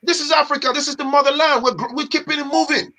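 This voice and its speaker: A man from Nigeria, a guest in a casual chat, talking in an animated, emphatic way with street slang. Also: very high-pitched, fast talker.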